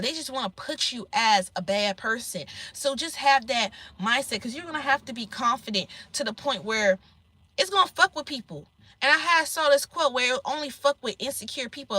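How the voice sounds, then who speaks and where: A woman with a squeaky voice giving blunt, profane life advice in a casual monologue to her viewers. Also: bright, forceful tone, no echo. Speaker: self-employed vlogger giving life advice.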